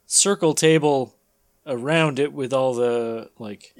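A man's voice: mid-range voice, slow, over a noisy crowd. A man co-hosting a comedy podcast, bantering casually in a wry, joking way. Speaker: podcast co-host.

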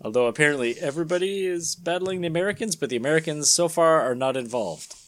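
A man's voice: fairly high pitch, expressive, fast, fairly bright tone. A man hosting and narrating a comedic dramatic reading, mixed with casual banter. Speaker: podcast host and narrator.

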